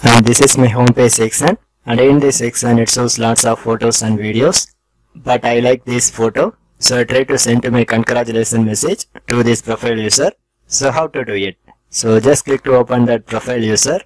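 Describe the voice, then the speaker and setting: Male tech tutorial host, mid-pitched, scripted instructional delivery, dry recording. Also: steady tone, a little fast, dry recording, low-quality recording. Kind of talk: scripted step-by-step tutorial narration.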